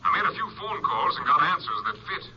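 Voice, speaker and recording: An actor playing a businesslike police captain in a 1950s radio detective drama, speaking in a measured, official way. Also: male voice, on the high side, very animated, pushed and bright, exaggerated voice. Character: businesslike male police captain reporting from headquarters. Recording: muffled, slightly noisy vintage radio recording.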